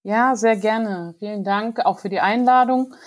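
Woman carefully explaining legal details. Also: medium pitch. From Germany, grew up in Hesse. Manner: measured, formal legal explanation with fillers. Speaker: guest, lawyer. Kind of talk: explaining in an interview. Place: remote call.

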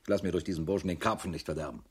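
An actor in a radio crime drama playing a sardonic, world-weary police chief in his fifties with a husky voice, grumbling as he talks. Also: sits low, racing. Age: 50s.

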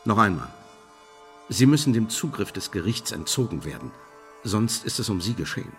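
An actor playing a calculating intelligence official in a radio play, speaking in a formal, measured, officious way with quiet menace. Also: male voice, fairly low pitch, big pitch swings, soft background music. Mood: coolly menacing.

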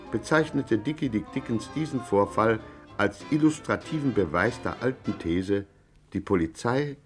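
An actor as the wry narrator, telling a mock-heroic gangster tale with solemn deliberation in a 1950s German radio play. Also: male voice, mid-range voice, close to an everyday voice. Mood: dry, ironic.

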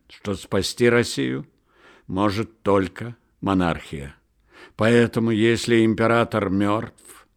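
A man doing a fervent young officer's toast. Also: fairly low pitch. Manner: solemn, declamatory.

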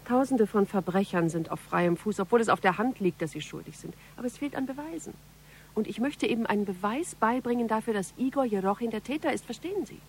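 An actress playing a polite but persistent police investigator questioning a suspect in a radio crime drama. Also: female voice, mid-range voice, expressive, quick pace, a little hiss or hum, natural voice. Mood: insistent. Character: tall gaunt policewoman, probing, polite, persistent interrogator.

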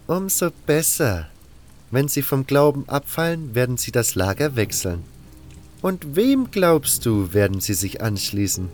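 An actor playing a cold, condescending baron who gives orders in a fantasy audio drama, with music underneath. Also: male voice, medium pitch, big pitch swings, measured pace, a little mellow. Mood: cool, calculating. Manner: condescending, scornful. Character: cold, haughty male baron giving orders.

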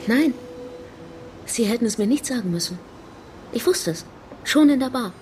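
An actress playing an enigmatic, self-assured blind young woman in a radio drama, speaking in a teasing, deliberate way. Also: fairly high pitch, animated, lightly acted. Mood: quietly insistent. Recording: loud music behind the voice. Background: loud music.

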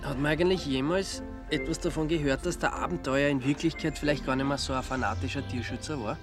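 A man's voice: a little high, steady tone, quick pace, lightly acted. An actor playing an inquisitive, sceptical man with an Austrian accent in a radio crime drama, casual and questioning. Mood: curious. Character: inquisitive, sceptical man asking about a murder case. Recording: music behind the voice.

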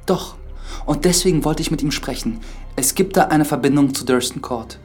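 A man's voice: fairly high pitch, moderate pace, natural voice. A young man acting an earnest, polite priest turned investigator in a German radio drama, courteous and explanatory. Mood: earnest, intent. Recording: studio radio drama with music underneath.

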